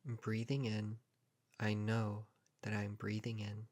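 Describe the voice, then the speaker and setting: Low-pitched man guiding a calm meditation. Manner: calm, measured, with long pauses between short phrases. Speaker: meditation guide. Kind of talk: guided meditation narration.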